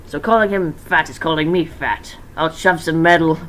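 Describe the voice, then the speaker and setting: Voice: mid-range voice, moderate pace, fairly bright tone, close to an everyday voice. A performer voicing a cocky, sarcastic male animatronic bear in a fan fiction reading. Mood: threatening. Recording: noisy amateur home recording.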